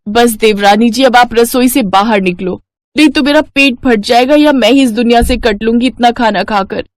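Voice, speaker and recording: A woman's voice: on the high side, normal speed, dry recording, pitched above the performer's own voice, lightly acted. A woman voicing a hapless young daughter-in-law, anxious and complaining, in a cartoon story. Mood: exasperated. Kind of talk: Hindi cartoon story dialogue.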